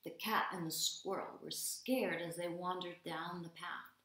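A woman in her thirties, an English teacher reading aloud to young children, dramatic and deliberate, with hushed stretches. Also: on the low side, steady tone, background noise. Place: small room at home, indoors. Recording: roomy indoor echo.

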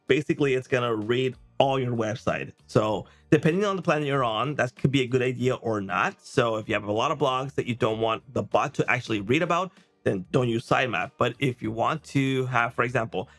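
A man's voice: mid-range voice, a bit bright. Man giving a casual tutorial over music. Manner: conversational, often checks in with okay and right. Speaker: software tutorial host. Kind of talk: casual screen-share walkthrough.

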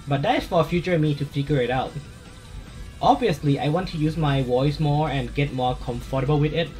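Soft-spoken Thai-accented man, slightly high. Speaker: gaming channel host. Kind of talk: casual voice-over chat.

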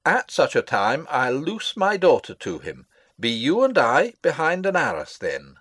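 A man's voice: fairly high pitch, huge pitch swings, unhurried, a bit bright, slightly rough, dry recording, heavily acted. A man playing a meddling, pompous old royal counsellor in a Shakespearean dramatic reading, deferential and ponderous. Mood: scheming.

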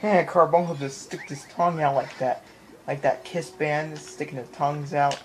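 Rambling male gamer over clear background noise. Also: on the high side, fairly even intonation, moderate pace. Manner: rambling, repeats phrases. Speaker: gamer recording his playthrough. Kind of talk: casual gameplay commentary. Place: indoor room in front of a TV. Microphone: handheld camera or phone filming the screen. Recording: noisy handheld camera recording in a room.

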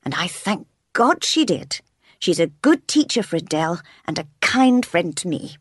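A woman's voice: lowish voice, very animated, moderate pace, husky, no echo. A woman plays a loyal old housekeeper in a storybook. She is mild, forgiving and chatty, heard in a dry, close sound. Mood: grateful. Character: kindly old housekeeper, loyal and gently evasive.

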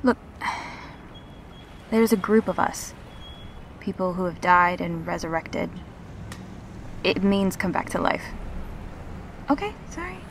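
A woman playing a gentle, reassuring revenant in an intimate audio roleplay, speaking earnestly and haltingly. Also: lowish voice, very animated, a little hiss or hum, heavily acted. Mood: patient, earnest. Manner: halting, earnest, soft-spoken pauses.

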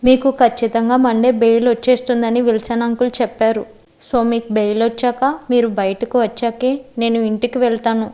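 An actress as an anxious young woman of deep faith, stubborn and loyal. Her voice is high and softly mellow. She speaks in earnest, pleading tones, in the style of a web drama. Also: little pitch movement, fairly quick, lightly acted. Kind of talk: web series drama acting.

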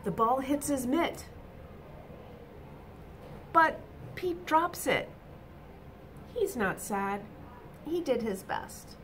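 A middle-aged woman reading a picture book aloud to children, expressive and deliberate, with emphatic exclamations, in a small room. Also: sits high, slight background noise. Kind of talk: animated read-aloud storytelling for children. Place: small indoor office or classroom.

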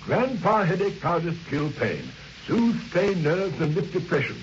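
A man's voice: sits high, big pitch swings, measured pace. A man reading a vintage radio commercial as the announcer. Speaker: advert announcer. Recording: dull, noisy old radio recording.

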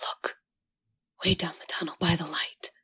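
Brief: actress as a devoted, frightened young woman in a radio horror play; husky and pleading. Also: fairly low pitch, flat delivery, measured pace, sharp tone, whispered, heavily acted. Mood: hushed, fearful. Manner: breathless, pleading. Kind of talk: old-time radio drama acting.

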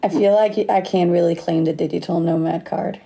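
Woman, late 20s, Danish, slight Australian accent, gravelly, mellow, relaxed livestream chat. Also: lowish voice, normal speed. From Denmark. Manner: relaxed, chatty, laughs often. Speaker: livestream host. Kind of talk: casual livestream chat reading comments. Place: indoor room. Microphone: phone close to face.

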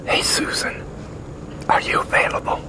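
A man, whispering an ASMR roleplay in a breathy, halting, informal way. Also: poor audio. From United States. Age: 60s. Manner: slow, halting, informal delivery. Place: open-sided concrete park picnic pavilion.

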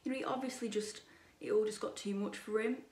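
A young woman in her twenties chatting while she does her makeup at a dressing table, gossipy and opinionated, her voice a bit croaky. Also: mid-range voice, fairly even intonation, fast, a little mellow, reverberant, recorded from a distance. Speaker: beauty vlogger. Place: bedroom dressing table with lit mirror, indoors.

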